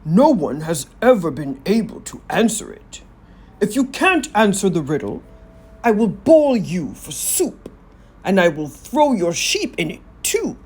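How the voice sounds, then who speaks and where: A woman voicing a huge, boastful male giant in a children's storybook, with a booming, taunting delivery. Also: very animated, a little slow, a little mellow, husky. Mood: gloating threat.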